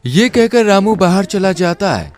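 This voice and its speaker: A man's voice: sits high, sing-song, a little slow, darkish tone, soft background music. A man narrating a scripted cartoon story.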